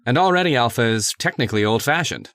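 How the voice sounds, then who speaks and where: A man's voice: mid-range voice, lively intonation, fast talker, a bit bright, no echo, studio quality, natural voice. A man playing an earnest, persuasive laboratory assistant in a radio drama, polite and formal. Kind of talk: old-time radio drama acting.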